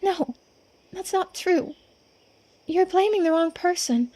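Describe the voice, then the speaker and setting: A woman's voice: extremely high pitch, expressive, slow pace, mellow, voice pushed up, exaggerated voice. A woman voicing an anxious, earnest young woman from a visual novel, sweet but stubborn and often hesitant. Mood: flustered, apologetic.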